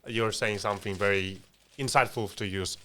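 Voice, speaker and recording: A young man with a fairly low voice co-hosts a casual podcast. He talks reflectively in a conversational way, slipping into little imagined exchanges to make his point. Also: fairly even intonation, moderate pace, sharp tone, a little hiss or hum. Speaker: podcast co-host.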